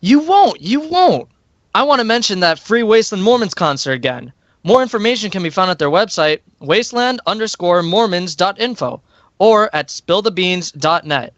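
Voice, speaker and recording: Man playing a high-voiced, breezy student music promoter. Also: big pitch swings, normal speed, a bit bright, no echo, dull audio. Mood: breezy, assured. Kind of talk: amateur radio play, plugging events.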